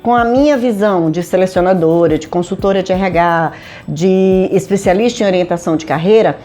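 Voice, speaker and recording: A woman's voice: on the low side, a little fast, mellow, faint music behind. Brazilian woman from Manaus talking to camera. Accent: Brazilian Portuguese, Manaus. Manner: conversational, rhetorical questions, emphatic. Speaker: HR consultant and career advisor. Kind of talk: direct-to-camera talk addressing viewers. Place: home studio in Manaus.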